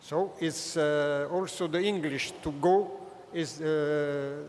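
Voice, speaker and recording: A man from Naples with a Neapolitan Italian accent, a non-native speaker, talking haltingly as a translator guest on a panel. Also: on the high side, steady tone, a little mellow, a little hiss or hum. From Naples, Italy. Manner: halting, searching for English words. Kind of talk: panel discussion. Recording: echoey hall.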